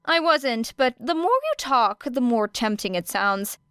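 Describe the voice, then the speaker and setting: A woman's voice: a little high, animated, unhurried, fairly bright tone, clear, resonant tone, no room sound, clean studio sound, voice pushed up. A woman voicing a guarded teenage girl who trades sarcastic jabs. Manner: sarcastic, stiff. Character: guarded snarky teenage girl hero student. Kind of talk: audiobook character reading.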